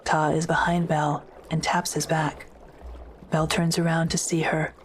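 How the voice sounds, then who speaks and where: A woman narrator with a low, flat-toned voice reads scripted drama narration. She is measured and matter-of-fact. Clear ambient noise sits behind her. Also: poor audio.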